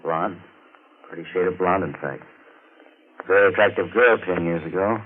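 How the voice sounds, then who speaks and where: Male actor as sinister chauffeur with deadly intent, deep insinuating voice, old thin radio sound with hiss. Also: moderate pace, lightly acted. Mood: musing. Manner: smooth, insinuating, menacing. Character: menacing former chauffeur turned would-be killer, smooth and threatening. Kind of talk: 1940s radio mystery acting. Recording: narrow, tinny, noisy 1940s radio recording.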